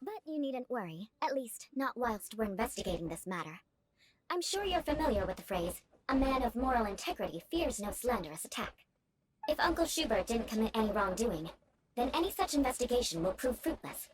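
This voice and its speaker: Haughty young noblewoman for a game: formal, imperious, ornate, highly animated delivery. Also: fairly quick, low-quality recording. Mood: cool, stern. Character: haughty young noblewoman, prim, vengeful, awkwardly grandiose. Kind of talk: video game voice acting. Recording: game music behind the voice.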